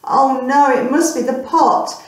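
Woman acting high-voiced storybook girl Hannah, echoey. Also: steady tone, unhurried. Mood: worried. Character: kind young girl Hannah from a folk tale. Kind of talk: read-aloud children's storybook. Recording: echoey room, distant microphone.

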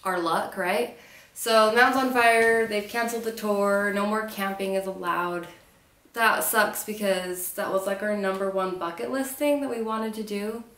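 A woman's voice: mid-range voice, steady tone, measured pace, fairly bright tone, distant mic. A woman in her twenties chatting casually for a travel vlog, upbeat and joking. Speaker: travel vlogger. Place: living room sofa, indoors. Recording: roomy echo, faint music.

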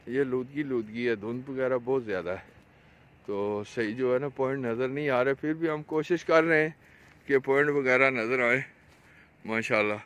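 Pakistani man vlogging outdoors with background noise. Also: a bit bright. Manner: repetitive, pointing things out to viewers. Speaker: fishing vlogger. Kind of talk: casual vlog commentary. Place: outdoors on an open road along a dam. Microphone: handheld phone camera, speaker behind it. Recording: noisy outdoor phone video, ambient rumble.

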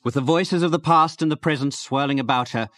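A man's voice: medium pitch, fast, a bit bright, no echo. A man narrating a story as presenter of a radio programme, reading from a script.

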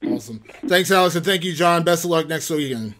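A man's voice: on the high side, expressive, fast talker, fairly bright tone. A man working as a reporter, asking conversational interview questions.

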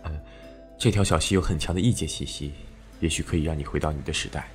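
A man acting a very deep-voiced, teasing supernatural fighter. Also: fast talker, music in the background. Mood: solemn, gentle. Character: calm, teasing male supernatural fighter, devoted and resolute. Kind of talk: Chinese costume audio drama acting.

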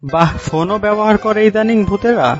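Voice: medium pitch, a little fast, natural voice. A performer voicing a skeptical, teasing cartoon listener who questions and interrupts with sarcasm. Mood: skeptical, mocking. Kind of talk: comic cartoon dialogue. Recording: dull lo-fi audio, clear music behind.

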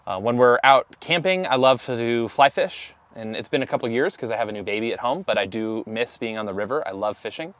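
A man's voice: mid-range voice, a little fast, hardly any pauses, a bit bright, no room sound. A man in his thirties, a van company founder, talking casually to camera in an interview with easy fillers. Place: inside a camper van and outdoors by the van.

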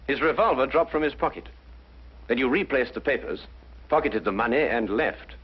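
An actor playing a brilliant, courteous detective, speaking precisely and deliberately in 1950s detective drama style. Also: male voice, mid-range voice, lively intonation, slightly rough. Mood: accusing. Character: brilliant, composed male detective, methodical and courteous. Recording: muffled 1950s broadcast, music underneath.

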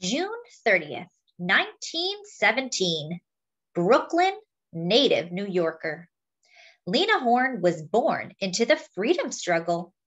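A woman reading a children's picture book aloud as a story-time host, with deliberate phrasing for young listeners. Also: medium pitch, big pitch swings, fairly bright tone, dull audio.

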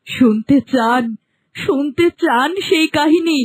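A woman's voice: high-pitched, slow, no room sound. A woman voicing a grieving, vengeful serpent maiden in a fairy-tale cartoon, dramatic and pleading. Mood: bitter grief.

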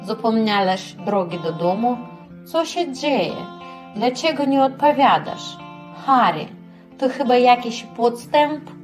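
A woman's voice: fairly high pitch, animated, takes it slowly, faint music behind. A woman playing a haughty, fussy rich wife in a cartoon, demanding and complaining. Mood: suspicious, demanding. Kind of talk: amateur stage-play acting in a cartoon.

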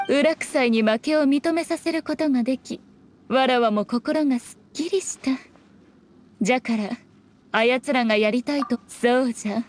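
A woman voicing a sly, elegant fox shrine maiden in an anime game, speaking in an archaic, playfully haughty way. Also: sits high. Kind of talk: anime video game voice acting.

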